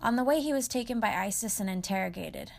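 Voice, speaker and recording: A woman hosting, who reads her narration clearly and asks short, encouraging questions. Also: mid-range voice, lively intonation, fast talker. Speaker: host and interviewer. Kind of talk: scripted narration and casual interviewing.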